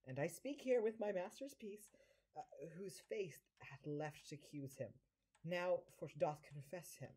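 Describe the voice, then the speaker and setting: A woman playing an impish devil posing as a fawning, scheming manservant in an audio drama, with flattering, theatrical delivery in the manner of early stage comedy. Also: fairly low pitch, a little slow, breathy edge. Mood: sly, coaxing. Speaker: amateur actor.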